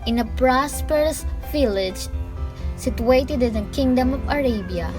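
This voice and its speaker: Slow female narrator with music behind. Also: high voice, expressive, darkish tone. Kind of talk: scripted storybook narration in amateur radio drama.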